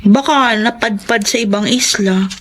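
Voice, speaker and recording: A woman's voice: a little high, unhurried. A woman playing a sweet, open, polite young girl in a Filipino radio drama. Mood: matter-of-fact.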